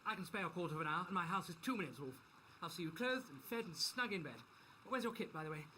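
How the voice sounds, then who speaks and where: A man voicing a hearty, flustered young upper-class English gentleman, full of effusive apologies and old-fashioned exclamations, as he reads a novel aloud. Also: sits high, animated, quick pace, a little hiss or hum, voice pushed up. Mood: eager. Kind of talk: acting out a character while reading a novel aloud.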